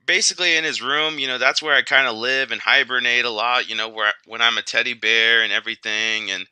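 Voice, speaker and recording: Man as a storytelling teddy bear, very bright sharp voice, crisp, rambling, hesitant, chatty. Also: medium pitch, fairly even intonation, a little fast, no echo, rough recording. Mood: rambling, earnest. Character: chatty male teddy bear who was once a grizzly. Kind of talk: casual first-person storytelling.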